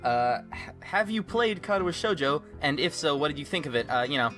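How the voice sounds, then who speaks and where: A man's voice: fairly high pitch, animated, a little fast, a bit bright. A man hosting a casual group chat, sarcastic and swearing freely, with music playing behind him. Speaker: host of a podcast about a fan voice project. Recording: background music. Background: guitar music.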